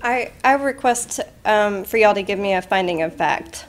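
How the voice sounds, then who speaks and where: A woman's voice: mid-range voice, animated, moderate pace. A woman applicant at a hearing, arguing in an insistent, challenging way. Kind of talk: argumentative exchange at a meeting.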